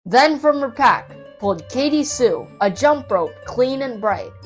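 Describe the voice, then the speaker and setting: A woman narrates a children's story in a fairly high, very lively voice. She is dramatic and playful, taking her time with the rhythm. Prominent children's music plays behind her. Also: a little slow. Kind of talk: expressive children's read-aloud narration.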